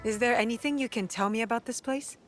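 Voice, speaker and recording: A resolute young heroine played by a woman with a fairly high voice. She speaks directly and earnestly in game cutscene style, with music softly behind her. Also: fast talker, close to an everyday voice. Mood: concerned. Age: young adult. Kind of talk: video game cutscene acting.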